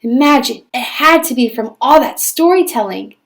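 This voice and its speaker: Storybook stepmother, read by a woman: snobbish, self-pitying, theatrically put-upon, high-pitched. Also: expressive, normal speed, gravelly. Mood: exasperated.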